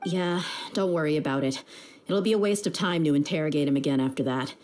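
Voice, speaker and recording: A woman's voice: low voice, quick pace, darkish tone, close to an everyday voice. A woman voicing a tough, confident young female mercenary with a blunt, streetwise manner in a video game. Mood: calm, businesslike. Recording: music behind the voice. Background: game music.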